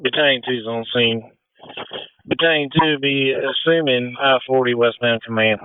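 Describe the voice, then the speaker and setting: A man's voice: medium pitch, normal speed, clean tone, no room sound. Male fire officer, dry muffled radio. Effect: two-way radio. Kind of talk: fireground radio traffic.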